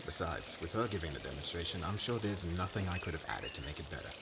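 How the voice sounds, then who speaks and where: An earnest, courteous fire mage played by a man talks in a fairly low voice with expressive rises and falls. He is a little hesitant, like a shy suitor in a fantasy roleplay. Strong ambient noise sits behind him. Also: quick pace. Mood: polite, modest. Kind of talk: ASMR roleplay acting.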